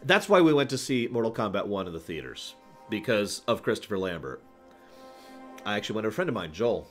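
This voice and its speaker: Man, low voice, lively swooping intonation, casual streamer chat, digressive, faint music behind. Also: brisk. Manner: digressive, talks to live chat, emphatic stresses. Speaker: livestream host. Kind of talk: casual rambling stream chat, opinion commentary.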